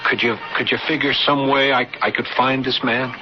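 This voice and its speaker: An actor playing an earnest, nervous American artist in a radio drama, hesitant, stammering and pleading. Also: male voice, mid-range voice, fairly even intonation, fairly quick, music in the background, lightly acted. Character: earnest, nervous male artist searching for someone. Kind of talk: 1980s radio drama acting. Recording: dull lo-fi radio broadcast.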